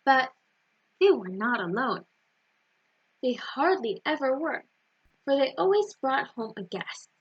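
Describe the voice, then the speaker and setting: A woman storyteller reading aloud to children in an animated style. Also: high voice, slow pace, pauses often, husky. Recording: dull, roomy lo-fi recording.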